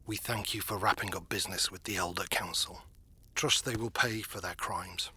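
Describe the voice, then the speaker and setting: Man acting a very husky, polite church dignitary. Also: low-pitched, fairly even intonation, normal speed, sharp tone, no echo, heavily acted. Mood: polite, assured. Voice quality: very husky, breathy. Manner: formal, polite, controlled. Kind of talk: dramatic audio roleplay acting.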